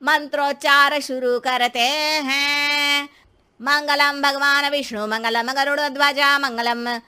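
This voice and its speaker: A woman voicing a solemn wedding priest in a cartoon story, with a drawn-out, ceremonial delivery. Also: high voice, level tone, sharp tone, voice pushed up, heavily acted. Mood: solemn, chanting.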